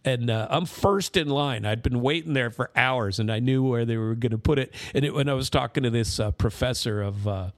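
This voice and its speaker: A man's voice: mid-range voice, a little fast, hardly any pauses, dry recording, studio quality. An American man giving informal explanatory commentary, rambling into side stories and checking in with "right?" and "you know". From United States.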